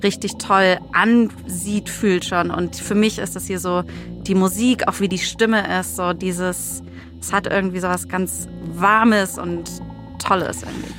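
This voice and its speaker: A woman's voice: on the low side, steady tone, measured pace, music in the background. A woman co-hosting a podcast in casual chat, informally thinking aloud as she goes. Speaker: podcast co-host.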